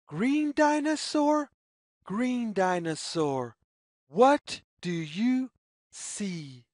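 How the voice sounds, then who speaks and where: Slow, sing-song woman reading to children. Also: medium pitch, expressive, darkish tone, no room sound, studio quality. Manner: deliberate, sing-song phrasing. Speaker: storyteller reading aloud to children. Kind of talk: read-aloud children's picture book, slow sing-song.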